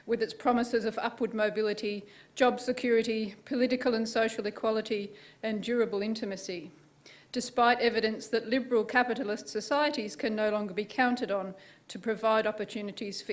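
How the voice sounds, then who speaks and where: Halting Australian woman, higher voice. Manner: halting, repeats words. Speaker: visiting writer. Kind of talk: reflective reading.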